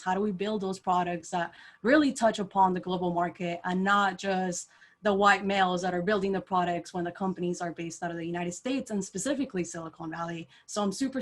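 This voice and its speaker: A young adult woman from Costa Rica, introducing herself eagerly on a panel over a video call, with frequent "like" fillers. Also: mid-range voice. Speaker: panelist, engineer.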